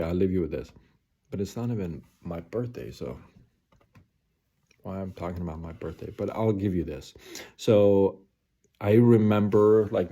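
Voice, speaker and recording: A man's voice: deep voice, mellow. A man in his forties, hosting a live stream, talking casually and rambling late at night with many fillers and repeats. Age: 40s.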